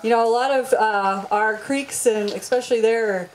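A woman acting as emcee, making casual announcements and introducing the next speaker in a friendly, halting way with many fillers. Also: fairly high pitch, a little hiss or hum, rough recording. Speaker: event organizer and emcee. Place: large open tent outdoors.

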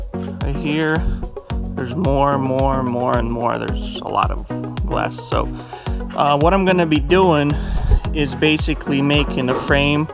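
A young man giving an informal walk-through, thinking aloud into a handheld action camera in a large warehouse. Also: mid-range voice. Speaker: vlogger, small business owner. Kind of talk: casual walk-through vlog narration. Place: large metal warehouse, indoors. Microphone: handheld action camera at arm's length.

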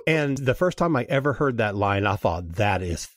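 A man's voice: medium pitch, big pitch swings, normal speed, no room sound, studio quality. A man talking casually as a podcast panelist, with a blunt, informal way of giving his views.

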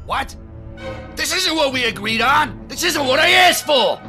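An actor playing an excitable, blustery man in an audio drama. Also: extremely high pitch, measured pace, over music, full character voice. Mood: outraged.

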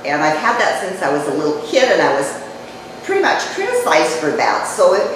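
An older woman giving a chatty public talk to a live audience, her voice dropping low as she speaks. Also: huge pitch swings, a little fast. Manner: conversational, chatty, jokes with the audience. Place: community event room. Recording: echoey hall, mic far off, noisy.

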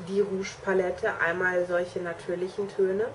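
A woman's voice: on the low side, little pitch movement, normal speed, a little hiss or hum, low-quality recording. A young woman chatting enthusiastically to her viewers in a casual show-and-tell vlog, addressing them directly. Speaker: make-up artist trainee showing her kit.

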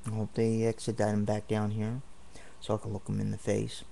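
A man's voice: on the low side, completely flat delivery, fairly quick, gravelly, dark tone, slight background noise. A man ranting indignantly and at length in a home video, repeating himself as he rambles. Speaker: vlogger. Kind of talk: angry home video rant. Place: home apartment.

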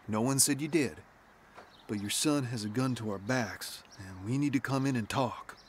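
An actor playing a steady, placating police officer in a radio drama. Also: male voice, mid-range voice, normal speed, slight background noise, close to an everyday voice.

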